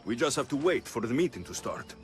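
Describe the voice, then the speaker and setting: A man's voice: mid-range voice, very animated, fast talker, soft background music, natural voice. An actor playing a pragmatic young male mercenary with a terse, dry delivery in a video game. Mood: dry, assured. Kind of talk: video game cutscene acting.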